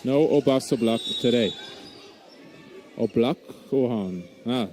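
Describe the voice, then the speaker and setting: A man's voice: mid-range voice, takes it slowly, darkish tone, slight background noise. A man with a Swiss German accent, a non-native English speaker, giving live basketball play-by-play with frequent hesitations and restarts. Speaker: basketball commentator.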